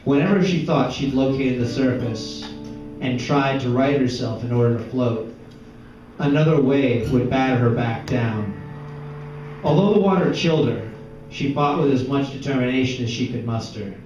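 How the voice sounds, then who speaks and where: A man reading a story aloud as dramatic, deliberate literary narration in an indoor gallery. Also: medium pitch, a little mellow. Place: echoey indoor art gallery. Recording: echoey room, distant mic, dull sound, music behind. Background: plucked guitar music.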